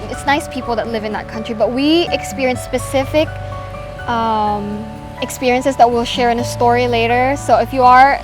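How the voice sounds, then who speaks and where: A young woman chatting casually to camera as a vlogger, full of fillers and quick restarts. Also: a little high. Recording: music bed underneath.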